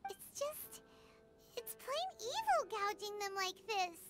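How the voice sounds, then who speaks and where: Squeaky little fairy girl. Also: big pitch swings, takes it slowly, music in the background, heavily acted. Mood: fretful. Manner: cutesy, refers to self in third person. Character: tiny chirpy fairy companion girl, childlike and indignant. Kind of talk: anime video game voice acting.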